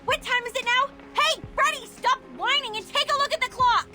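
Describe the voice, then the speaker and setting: A woman's voice: extremely high pitch, fairly quick, sharp tone, soft background music, full character voice. A woman voicing a hot-tempered, combative young film student director in a dramatic video game performance. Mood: confused, alarmed. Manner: combative, snappy.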